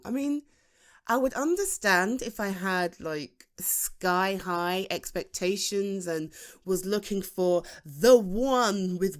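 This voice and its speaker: A woman acting as a single British woman in her late thirties, chatting to her best friend in an intimate ASMR roleplay. She takes her time, halting and starting again, with a lively, expressive melody. Her tone is teasing and self-deprecating, at a medium pitch. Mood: exasperated, wry. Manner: halting, chatty, with sighs and chuckles.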